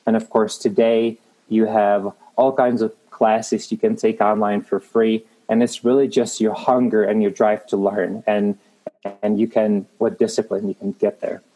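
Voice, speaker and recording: Czech-accented man in his forties, interview guest; lowish, mellow voice, earnest and reflective. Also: level tone, measured pace, poor audio. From Czech Republic. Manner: earnest, reflective, restarts often. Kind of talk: casual interview.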